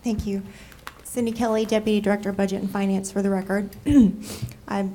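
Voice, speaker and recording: A woman with a cold, nasal and froggy, presenting a city budget to council in a measured way with frequent ums. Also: mid-range voice, little pitch movement, mellow, slight background noise. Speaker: city deputy budget director. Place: council chamber.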